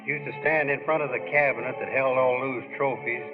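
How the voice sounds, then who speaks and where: An actor playing a folksy, fond friend reminiscing about a ballplayer, in the narration of a 1940s radio drama. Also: male voice, medium pitch, fairly even intonation, fairly quick, lightly acted. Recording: muffled old radio recording with music.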